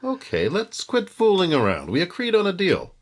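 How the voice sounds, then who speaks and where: An actor playing a cocky, impatient small-time blackmailer in an old-time radio thriller, sarcastic and tough-talking. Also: male voice, high-pitched, wildly swooping pitch, moderate pace, heavy background noise. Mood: indignant, wary.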